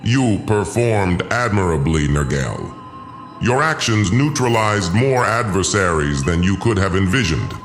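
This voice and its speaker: A man's voice: sits low, big pitch swings, unhurried, soft background music, heavily acted. A man playing an imperious, gloating alien lord in an audio drama, with a pompous, commanding and menacing delivery. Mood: imperious, impatient. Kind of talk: first-person audio drama villain.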